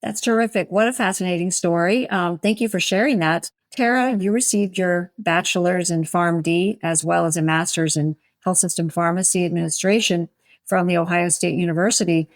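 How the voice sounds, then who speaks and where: A woman podcast host speaks in a fairly low voice, recorded close and dry. Her delivery is polished and articulate, like a prepared script for introductions and interview questions. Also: fairly even intonation, normal speed, dry recording.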